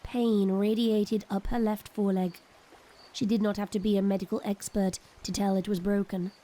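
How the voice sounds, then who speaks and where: A woman narrating a scripted audio drama in a measured, ominous storytelling manner. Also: mid-range voice, little pitch movement, mellow, slight background noise. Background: music.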